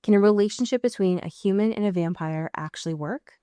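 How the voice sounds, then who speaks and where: American woman chatting on a comedy podcast, mock exasperated, stretches words for emphasis, mid pitch, really dry sound. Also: fast, dark tone, clean tone, no room sound. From US Pacific Northwest. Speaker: podcast co-host. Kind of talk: casual comedic podcast chat.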